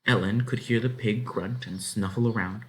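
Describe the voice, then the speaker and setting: A man reading a children's novel aloud as narrator, in an expressive, dramatic way. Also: lowish voice, moderate pace, low-quality recording. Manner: dramatic, animated. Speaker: story reader.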